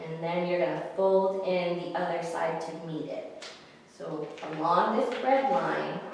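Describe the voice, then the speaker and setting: A woman's voice: fairly low pitch, a little hiss or hum. A woman hosting story time, narrating and explaining things simply for young children. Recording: echoey hall, distant microphone.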